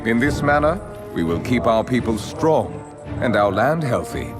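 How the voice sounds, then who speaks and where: An actor playing a grandiose aristocratic vampire lord in a video game, speaking in measured, theatrical, pompous oratory. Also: male voice, mid-range voice, very animated, over loud music. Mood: pompous, resolute. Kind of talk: dramatic scripted game monologue.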